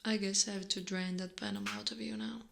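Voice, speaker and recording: A woman voicing a seductive, possessive spider monster in a breathy, teasing ASMR whisper. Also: mid-range voice, level tone. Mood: possessive, doting. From Italy. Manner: slow, drawn-out, teasing. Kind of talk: ASMR roleplay, mostly whispered.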